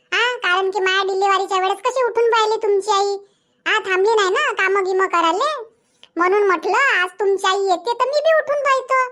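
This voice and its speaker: A woman's voice: extremely high pitch, pushed and bright. A woman voicing a stubborn, grumbling young village mother in a cartoon comedy, chattering emphatically with a Vidarbha Marathi accent. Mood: determined.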